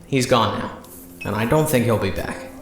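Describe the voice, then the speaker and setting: A man playing a steady, moody young former sidekick hero in an audio drama. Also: medium pitch, normal speed, reverberant, soft background music, natural voice. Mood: calm concern.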